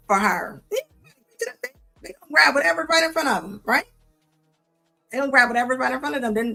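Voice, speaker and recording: A woman's voice: a little high, bright, forceful tone. A woman hosting a live show, reacting to clips in a sassy, scolding tone with casual slang, often repeating herself. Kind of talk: live commentary on videos.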